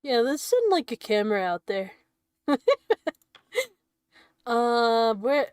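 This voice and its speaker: A young woman with a fairly high voice streams a game, thinking aloud and giggling as she plays. She talks slowly into a close mic, and the sound is dry. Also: expressive, darkish tone, smooth, no room sound. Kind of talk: casual game commentary, reading dialogue aloud.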